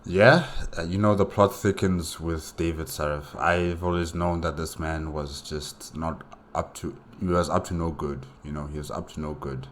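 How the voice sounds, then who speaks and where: A man hosting a solo reaction video, commenting casually in a musing, halting way. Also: extremely low pitch, fairly even intonation. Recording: faint background noise.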